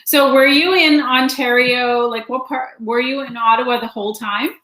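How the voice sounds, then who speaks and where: A Canadian woman hosting a casual interview, chatty and letting her sentences trail off softly. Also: a little high, steady tone, normal speed, reverberant, poor audio. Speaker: podcast host.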